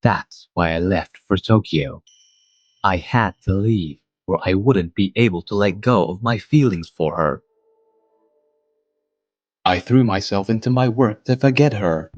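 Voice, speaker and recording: A man playing a mild, wistful adult guardian, narrating a manga dub in a measured, reflective way. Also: lowish voice, dry recording, muffled recording. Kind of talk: scripted first-person manga dub narration.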